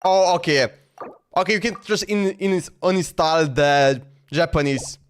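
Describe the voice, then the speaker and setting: A man's voice: a little high, measured pace, clear, resonant tone. A man in his twenties with a Slovenian accent, giving casual, rambling livestream commentary on a game. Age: 20s. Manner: rambling, exclamatory.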